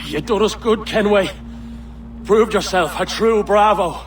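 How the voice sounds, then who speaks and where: An actor playing a bitter pirate crewman in a video game, scornful and accusing in rough dockside speech. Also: sits high, distant mic, slight background noise. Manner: drawn-out, accusing, nonstandard dockside grammar. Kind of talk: dramatic video game acting.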